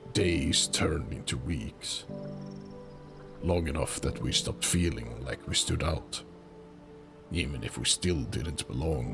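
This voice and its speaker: A man narrating a fantasy audio drama in a slow, deliberate, brooding way. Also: rumbling low, vocal fry. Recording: music under the narration. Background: music.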